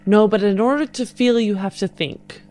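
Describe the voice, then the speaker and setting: A woman's voice: mid-range voice, moderate pace, a little mellow, natural voice. A woman playing an earnest, principled spacer. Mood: morally insistent. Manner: polite, earnest, insistent. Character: earnest, moralising woman spacer, eager adoptive mother.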